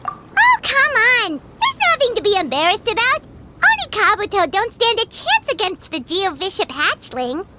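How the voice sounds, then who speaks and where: A woman voicing a tiny, bossy, excitable fairy companion in an anime game, blunt and exclamatory. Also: extremely high pitch, moderate pace, very noisy. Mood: blunt reassurance.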